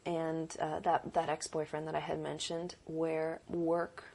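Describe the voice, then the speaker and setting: A woman's voice: monotone, a little slow, gravelly, a little mellow. A young adult woman producer and director talking in a casual interview, earnest and reflective, thinking aloud with small restarts. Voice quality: deep voice.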